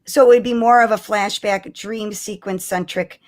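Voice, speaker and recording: Chatty, expressive woman co-host. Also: mid-range voice, normal speed. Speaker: podcast co-host. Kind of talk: casual live-stream chat about fandom news.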